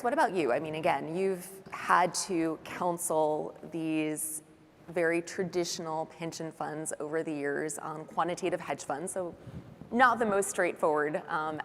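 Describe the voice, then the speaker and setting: A woman's voice: lowish voice, a little hiss or hum. A woman moderating a conference panel, putting conversational questions to the speakers. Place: large conference hall stage. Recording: long hall reverb, faint ambience.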